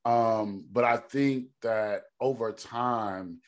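Deep-voiced man, thinking aloud. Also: extremely slow. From Texas. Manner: thinks aloud, many ums and restarts. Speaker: podcast guest. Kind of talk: reflective conversational storytelling.

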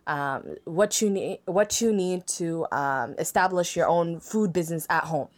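A young woman on a podcast telling a funny story in casual chat, lively and self-mocking, often breaking into laughter. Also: lowish voice, measured pace. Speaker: podcast guest.

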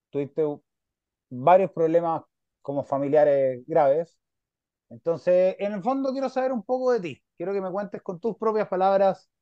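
Chilean male podcast host, raised pitch, relaxed talker, muffled dry audio. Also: dry recording. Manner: relaxed, chatty, talks about himself. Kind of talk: casual interview chat. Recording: dull dry sound.

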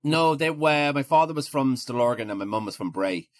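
An Irish man in his forties talking casually as an interview guest, halting and often repeating his words. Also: medium pitch, animated, no room sound, clean studio sound. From Ireland. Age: 40s.

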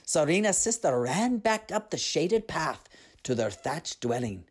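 A woman reading a story aloud as an expressive narrator. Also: low-pitched, unhurried, breathy edge.

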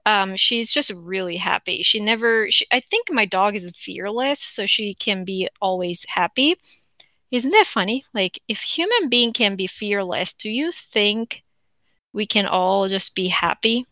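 A woman's voice: mid-range voice, a little slow, bright, forceful tone, no room sound. A Korean woman hosting her own podcast, talking in a rambling, chatty way with plenty of fillers and restarts, with a Korean accent. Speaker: podcast host. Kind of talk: casual unscripted monologue.

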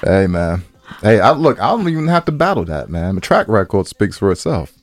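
A man's voice: very animated, a little fast, no echo, soft background music. An American man co-hosting a podcast in casual, playful banter, slangy and joking, repeating himself for emphasis. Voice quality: low-pitched voice. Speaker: podcast co-host.

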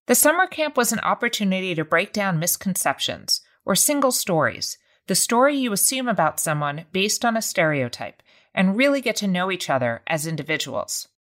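A woman producer presenting a segment in a measured, scripted narration. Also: mid-range voice, very animated, fairly bright tone.

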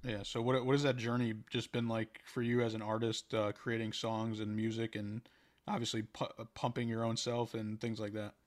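A man hosting a podcast, asking questions in a casual, halting way. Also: on the low side, flat delivery. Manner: casual, halting, with fillers and restarts. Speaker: podcast host. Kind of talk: casual interview chat.

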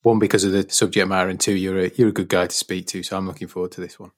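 An English man hosts a podcast in a friendly, chatty manner. His voice sits a little low, and he often doubles up words as he leads into questions. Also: flat delivery, racing. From England. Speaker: podcast host. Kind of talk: casual interview hosting.

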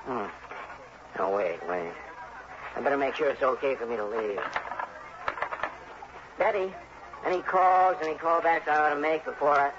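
An actor playing a harried, chummy insurance agent in an early 1960s radio drama, earnest, hurried and folksy. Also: male voice, medium pitch, lightly acted. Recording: noisy, dull vintage radio recording.

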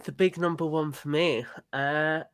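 Higher-voiced man in earnest podcast chat. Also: steady tone, unhurried, clear, resonant tone, dry recording, clean studio sound. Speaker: podcast guest.

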